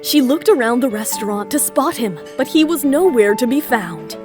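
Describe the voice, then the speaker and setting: A woman's voice: a little high, animated, moderate pace. A woman narrating a scripted audio drama in a dramatic storytelling style, with music underneath. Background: background music.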